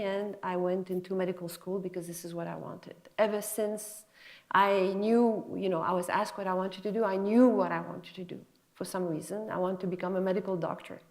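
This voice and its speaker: A woman's voice: medium pitch, a little mellow. Middle-aged woman lecturer. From Lebanon and France. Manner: emphatic, conversational, encouraging. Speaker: physician scientist giving a guest lecture. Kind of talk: motivational lecture to students.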